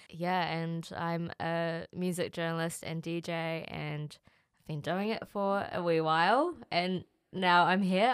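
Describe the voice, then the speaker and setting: A woman's voice: lowish voice, smooth. A woman from New Zealand with a Kiwi accent, a music journalist guest chatting casually and giggling a lot. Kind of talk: casual interview talk.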